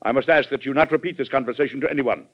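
An actor playing a troubled, plainspoken middle-aged American president in an old-time radio drama, speaking deliberately and with emphasis. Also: male voice, medium pitch, close to an everyday voice. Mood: stern. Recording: dull vintage radio recording.

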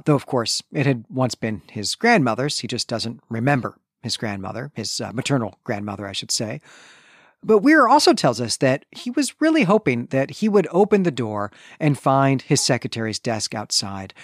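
A man's voice: medium pitch, no echo. Man co-hosting a book podcast, dry close sound. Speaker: podcast co-host. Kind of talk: conversational book discussion.

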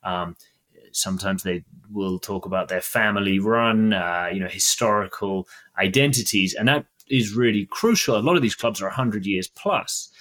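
A man's voice: on the low side, moderate pace, a bit bright. A man hosting a business podcast, asking long conversational questions. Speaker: podcast host. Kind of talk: interview questions.

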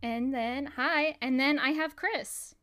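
A woman in her thirties hosts her podcast with a slightly high, bright-sounding voice. She is upbeat and chatty, laughing often and joking with her guests. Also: takes it slowly. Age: 30s. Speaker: podcast host. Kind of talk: casual chat.

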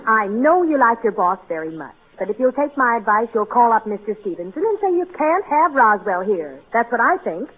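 A woman's voice: fairly high pitch, big pitch swings, fairly quick, hardly any pauses, slightly rough. An actress playing a practical wife and mother in a 1940s radio comedy, exasperated and nagging but kind underneath. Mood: scolding. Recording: tinny, noisy 1940s radio recording.

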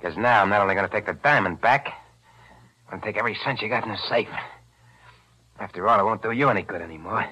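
Brash young gangster, forceful and tough, 1940s radio. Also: male voice, fairly low pitch, fast, pauses often, slight background noise, poor audio. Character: cocky young male crook on the run. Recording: vintage 1940s radio broadcast.

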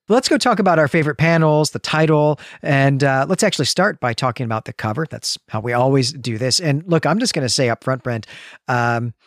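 A man's voice: mid-range voice, no room sound, clean studio sound. A man co-hosting a podcast, talking through a book in a chatty, informal and reflective way. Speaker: podcast co-host. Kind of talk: conversational book-club commentary.

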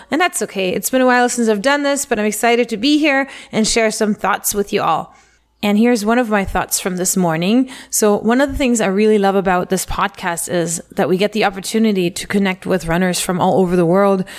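Orta perdeden, dengeli bir sesle konuşan bir kadın sunucu; podcast açılışını neşeli, hazırlanmış bir metin gibi okuyor. Konuklarına sorularını hevesli ve samimi bir tonda yöneltiyor. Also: female voice, medium pitch. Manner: upbeat, enthusiastic delivery. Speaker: podcast host. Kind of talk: scripted podcast intro and interview.

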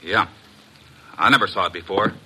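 An actor playing a nervous, defensive airline pilot, edgy and halting, in a 1940s radio drama. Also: male voice, low voice, background noise.

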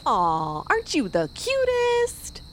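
A woman's voice: sits high, wildly swooping pitch, slow, fairly bright tone, a little hiss or hum, heavily acted. An actress playing a secretly murderous young woman who feigns innocence among old friends in an audio drama. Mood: sweetly sinister.

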